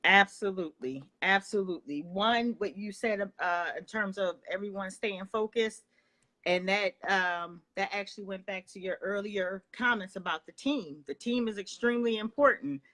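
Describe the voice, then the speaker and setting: A woman hosting an interview from home, halting and full of fillers while she affirms her guest. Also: medium pitch, fairly bright tone. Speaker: interview host. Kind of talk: interview chat.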